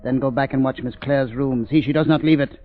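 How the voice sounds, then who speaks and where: A man's voice: mid-range voice, steady tone, fairly quick, lightly acted. Man acting sinister doctor villain, muffled old radio. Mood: commanding, dismissive. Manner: theatrical, suave, commanding. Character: sinister, coolly menacing male doctor, a murderous villain. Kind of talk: 1930s radio mystery acting. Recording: muffled 1930s radio recording.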